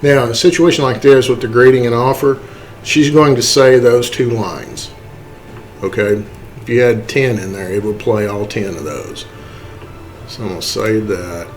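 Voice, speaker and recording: A man giving a casual, step-by-step software walkthrough, informally checking in with okay and right as he goes. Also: medium pitch, normal speed, soft background music. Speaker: tutorial presenter. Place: indoors, voice-over for a screen recording.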